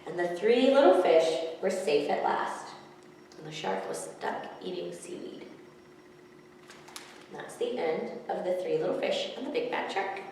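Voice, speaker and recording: A woman's voice: lowish voice, lively intonation, unhurried, darkish tone. A woman in her thirties presenting and reading picture books aloud to children. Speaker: children's library storyteller. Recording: echoey hall, distant mic, background noise.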